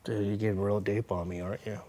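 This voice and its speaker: A man speaking as a podcast guest in a casual interview, with a candid, animated and slangy way of talking. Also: lowish voice, fast talker. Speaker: podcast guest, comedian and hip-hop artist.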